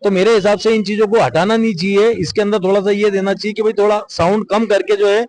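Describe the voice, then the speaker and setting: A man giving measured, explanatory answers in an interview, reasoning through his view. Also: high-pitched, steady tone, darkish tone. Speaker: member of the public interviewed.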